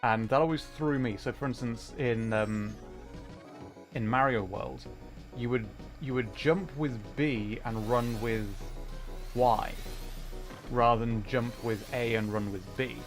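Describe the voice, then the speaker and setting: A man's voice: mid-range voice, steady tone, slow. A man giving casual gaming livestream commentary, rambling and thinking aloud as he plays. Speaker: livestream host, gamer. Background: music.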